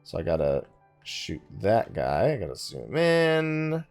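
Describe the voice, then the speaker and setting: A man's voice: fairly low pitch, wildly swooping pitch, slow pace, darkish tone, soft background music. A man giving casual let's play commentary, thinking aloud in a wry, conversational way. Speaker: gaming YouTuber, host of the let's play.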